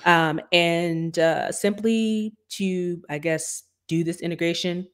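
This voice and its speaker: Female podcast co-host, slightly low voice, chatty with filler words. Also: takes it slowly, clear, resonant tone. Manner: conversational, frequent ums and uhs. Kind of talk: casual tech news chat.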